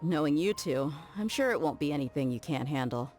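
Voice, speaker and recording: A confident young mercenary woman in a video game, talks in a lowish voice. Her delivery is easygoing and friendly, with a teasing edge. Soft music sits behind her. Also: fairly quick. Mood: warm, teasing. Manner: relaxed, teasing, friendly banter.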